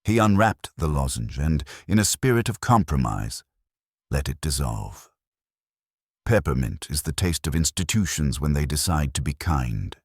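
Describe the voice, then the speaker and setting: A man reading a literary detective story as narrator, with a measured, dry and formal delivery. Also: a bass voice, sing-song, husky, dry recording. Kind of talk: scripted literary audiobook narration.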